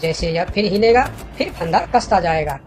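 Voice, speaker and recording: A woman's voice: lowish voice, normal speed, a little mellow, close to an everyday voice. A woman voicing a clever, confident young male monkey for a children's cartoon, with music under the voice. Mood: triumphant.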